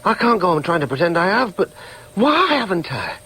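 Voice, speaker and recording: An actor playing an anxious, self-questioning young man in a radio drama, husky, with a fretful, stubborn manner. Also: high voice, big pitch swings, fairly quick, bright, forceful tone, full character voice. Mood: brooding, anxious. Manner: fretful, talks to himself in questions. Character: anxious, self-questioning grown son, stubbornly defending heredity. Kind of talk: naturalistic radio drama with interior monologue.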